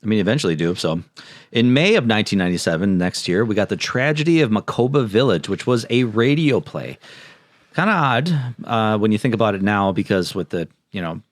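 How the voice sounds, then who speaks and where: A man with a fairly low voice co-hosts a podcast. He is informal and agreeable, chatting casually and at times reading out prepared notes. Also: expressive, brisk, no echo. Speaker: podcast co-host.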